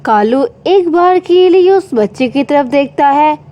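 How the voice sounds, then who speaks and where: A woman's voice: high voice, animated, a little slow, muffled recording. A woman narrating a scripted children's story.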